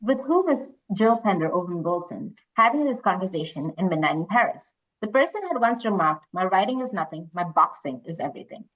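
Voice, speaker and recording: A woman's voice: lowish voice, animated, brisk, few pauses, a bit bright. Dull-sounding woman hosting a quiz. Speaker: quiz host reading questions. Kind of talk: reading quiz questions, casual moderating.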